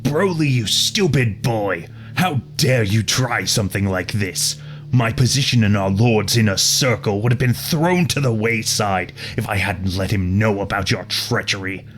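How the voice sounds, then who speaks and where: A lowish-voiced, scheming old warrior acted by a man in a superhero audio drama. He is haughty and scornful, scolding like a stern father, with faint music behind him. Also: measured pace, a bit bright. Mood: contemptuous anger.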